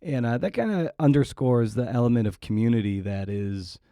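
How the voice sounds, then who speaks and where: A man's voice: mid-range voice, fairly quick, warm tone, no echo, clean studio sound. A man interviewing a guest as a podcast host, casually working his way toward questions in a rambling, hesitant manner.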